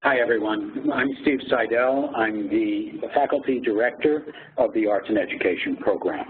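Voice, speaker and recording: A man giving an informational presentation as a program director, explaining in measured phrases with pauses. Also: lowish voice. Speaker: faculty director of a graduate program. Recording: phone-like lo-fi audio with faint noise.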